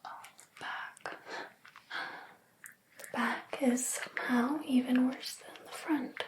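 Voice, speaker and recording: A woman performs a jealous, possessive hairdresser in an ASMR roleplay. Her voice is a high, breath-only whisper. She takes her time over each phrase, with a deliberate, quietly threatening manner. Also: steady tone. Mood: possessive, quietly menacing.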